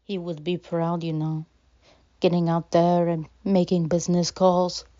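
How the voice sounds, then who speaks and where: A woman's voice: fairly low pitch, little pitch movement, unhurried, dark tone, dry recording, lightly acted. A woman playing a genial, insistent theater owner in an audio drama, persuasive, on a dull recording. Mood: welcoming.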